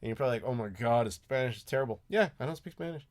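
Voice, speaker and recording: A man's voice: mid-range voice, expressive, racing. An American man hosting a live Q&A stream, chatting casually with lots of ums and restarts. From United States. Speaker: YouTube host answering live chat. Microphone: desk mic with clacking mechanical keyboard.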